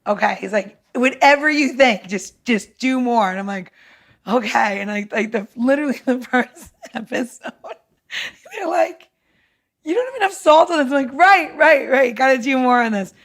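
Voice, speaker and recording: A woman chatting casually and excitedly, informal in her wording and often quoting bits of dialogue. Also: sits high, moderate pace. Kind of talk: casual chat.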